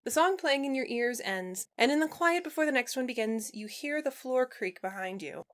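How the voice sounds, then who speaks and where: A woman reading fan fiction aloud as a narrator, in an expressive scripted storytelling style. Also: high voice, moderate pace.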